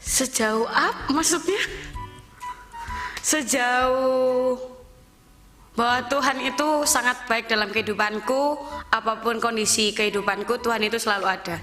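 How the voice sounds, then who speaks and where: A woman's voice: a little high, measured pace, long pauses, sharp tone, faint music behind. An eighteen-year-old woman answering thoughtfully and sincerely. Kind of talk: casual interview answers.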